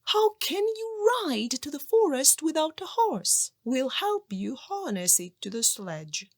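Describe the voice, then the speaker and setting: A woman's voice: sits high, sing-song, measured pace, dry recording, clean studio sound, pitched above the performer's own voice, heavily acted. A woman voicing scolding peasant sisters-in-law in a children's storybook folk tale. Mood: incredulous. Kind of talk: children's storybook character reading.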